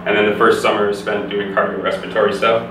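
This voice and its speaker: A young man from Canada, a physiotherapy student, explaining things in a steady, easygoing way in an interview. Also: fairly low pitch, quick pace. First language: English. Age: young adult. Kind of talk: casual interview answers. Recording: echoey room, distant mic, background noise.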